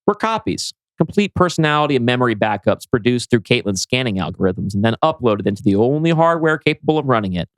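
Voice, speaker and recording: A man's voice: medium pitch, big pitch swings, fast talker, few pauses, no echo, lightly acted, rough recording. A man reading the part of a breezy, slangy buddy in a science-fiction script, with a flippant manner. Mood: flippant explaining. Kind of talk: script table read.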